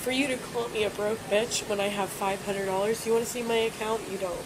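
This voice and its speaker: A woman's voice: medium pitch, steady tone, fairly quick. A woman in her twenties, tipsy and upset, rambling and swearing to a police officer. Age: 20s. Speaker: bar patron in a police stop. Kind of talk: emotional rambling talk. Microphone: police body camera. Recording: noisy body camera audio.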